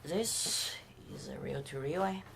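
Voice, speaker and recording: A woman giving a casual unboxing commentary, halting and thinking aloud as she handles things. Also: a bass voice, creaky. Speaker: vintage audio gear presenter. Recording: noisy amateur room recording.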